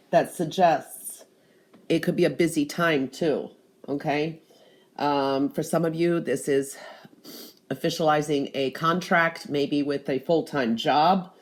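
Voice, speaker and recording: A woman with a stuffed-up, congested voice giving an intuitive spiritual reading, pausing often with "um" and repeating that she feels the energy. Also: low voice. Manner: hesitant, frequent "um" and "I do feel that energy". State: congested from allergies. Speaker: tarot and gemstone reader.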